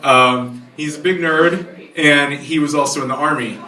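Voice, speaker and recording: A man's voice: a little high, measured pace, sharp tone. A man casually telling an anecdote to a group, recorded from a distance in a roomy space. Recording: roomy echo, distant microphone.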